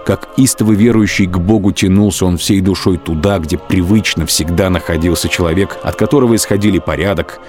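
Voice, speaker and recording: A man narrating a radio drama in a measured, grave storytelling style. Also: low voice, darkish tone, faint music behind. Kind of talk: steady literary narration for a radio play.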